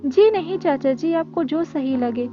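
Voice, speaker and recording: A woman playing a timid, dutiful young woman in an animated story. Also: high voice, measured pace. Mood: meek. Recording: dull lo-fi audio with music.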